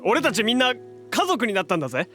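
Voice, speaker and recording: An actor playing a brash, warm-hearted young hero in a superhero audio drama, talking casually, cocky but sincere. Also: male voice, high voice, sing-song, fast, soft background music, full character voice.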